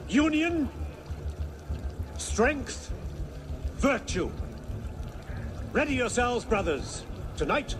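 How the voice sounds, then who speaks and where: A man's voice: high voice, noisy background, full character voice. An actor playing a zealous, embittered leader in a video game, declaiming solemn, fervent speeches to his followers. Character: zealous grand orator, Templar leader, embittered and commanding. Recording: loud music behind. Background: music.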